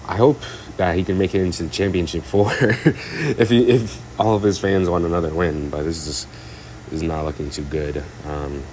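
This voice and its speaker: A man's voice: low-pitched, fairly even intonation, moderate pace, hardly any pauses, a little mellow, a little hiss or hum, rough recording. A man giving a casual, rambling recap of a stock car race, stuffed up and hesitant, full of fillers and restarts. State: congested, stuffed up. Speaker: racing commentator.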